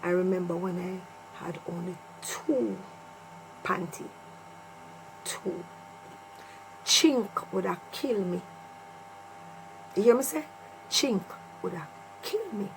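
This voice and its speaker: A woman's voice: on the low side, takes it slowly, pauses often, poor audio. A middle-aged woman with a Jamaican accent tells a personal story casually to viewers, repeating phrases for emphasis. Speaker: vlogger telling a personal story. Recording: clear mains hum and noise.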